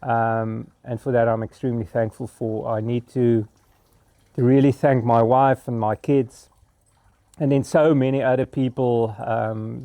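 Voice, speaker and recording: A South African man in his fifties, Afrikaans his first language and clear in his accent, talks to camera in a sincere, halting way with many pauses. His voice is mellow and warm. The sound is close and dry, with no room echo. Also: mid-range voice. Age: 50s. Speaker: vlogger. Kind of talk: reflective personal monologue.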